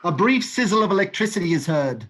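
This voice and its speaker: A man's voice: high-pitched, sing-song, fast talker, fairly bright tone. Man reading stage directions plainly.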